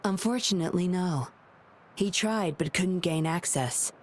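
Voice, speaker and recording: A woman's voice: fairly low pitch, unhurried. A woman voicing a guarded, composed video game patroller with a wry, lonely streak. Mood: wry, measured. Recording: clear ambient noise behind voice.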